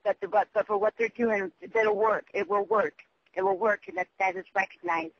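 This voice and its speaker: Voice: medium pitch, lively intonation, moderate pace, creaky. A person leaving a rambling, urgent voicemail over the phone, repetitive, emphatic and prone to swearing. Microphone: telephone. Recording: muffled, dull phone voicemail.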